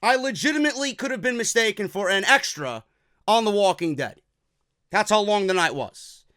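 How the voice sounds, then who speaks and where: An energetic man hosts a wrestling news show from his office, casual and loose with his words. His voice sits high and bright, with a smooth, clear tone. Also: normal speed, no room sound, studio quality. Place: office at a desk.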